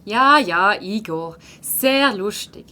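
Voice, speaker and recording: A woman's voice: medium pitch, expressive, slow, pushed and bright. A woman playing a patient but firm primary school teacher in a children's audio drama, with a Swiss German accent. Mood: dryly patient.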